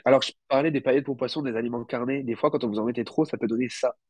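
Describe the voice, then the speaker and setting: Homme enrhumé, hésitant, voix sèche. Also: male voice, medium pitch, steady tone, very fast, darkish tone, dry recording. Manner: hesitant, many fillers, searches for words. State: slightly congested from a cold. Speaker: guest isopod breeder. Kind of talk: casual livestream explanation.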